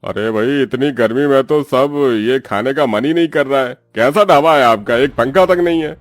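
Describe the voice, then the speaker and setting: A man's voice: mid-range voice, normal speed, dry recording, studio quality, lightly acted. A man voicing a fussy, complaining diner customer in a cartoon, with a grumbling manner. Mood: displeased. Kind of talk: scripted cartoon dialogue.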